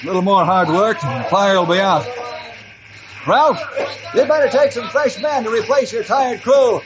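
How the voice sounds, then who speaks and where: A man's voice: sits high, lively intonation, moderate pace, rough recording. A man playing a commanding forest ranger who barks orders urgently in an old radio adventure drama. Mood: brisk, decisive. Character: commanding male ranger leading men against a forest fire. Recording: old radio drama recording, commotion behind.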